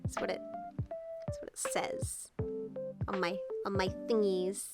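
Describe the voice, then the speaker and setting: A woman's voice: mid-range voice, wildly swooping pitch, unhurried, gravelly. A young Australian woman chatting casually on a stream while sick with the flu, her voice nasal and congested. She rambles, swears and giggles often. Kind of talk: casual chat reading viewer messages. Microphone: VR headset mic. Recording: streamed with background music. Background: music playing.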